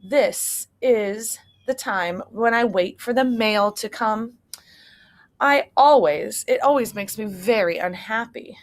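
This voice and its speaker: Woman as gloomy male toad, storybook character, plaintive, resigned, medium pitch. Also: slow. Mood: sad.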